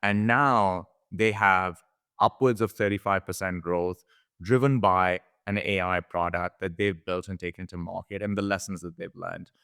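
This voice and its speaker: A man co-hosting a conversational business podcast, explaining in a measured way and posing questions. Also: on the low side, a bit bright, no room sound, clean studio sound. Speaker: podcast co-host.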